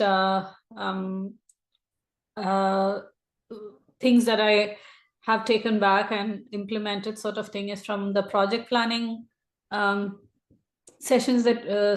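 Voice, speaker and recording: A woman's voice: medium pitch, little pitch movement, slow, echoey room, low-quality recording. A woman taking part in an online group session, speaking hesitantly with many ums and uhs. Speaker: participant.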